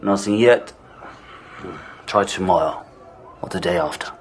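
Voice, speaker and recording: A man's voice: on the low side, sing-song, a little slow, creaky, a little hiss or hum, exaggerated voice. An actor playing a stolid, unhelpful port official, terse in his refusals, in an audio drama. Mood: bored, obstructive. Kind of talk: radio drama acting.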